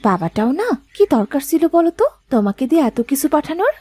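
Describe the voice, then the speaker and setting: A woman voicing a dutiful, chatty young daughter-in-law in a cartoon family drama, expressive and fondly chiding. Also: high voice, a little fast, no room sound.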